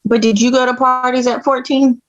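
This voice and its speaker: A woman's voice: sits high, flat delivery, a little fast, natural voice. A woman playing an assertive, indignant fourteen-year-old girl doctor at a table read, matter-of-fact and precise. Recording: dull, lo-fi audio.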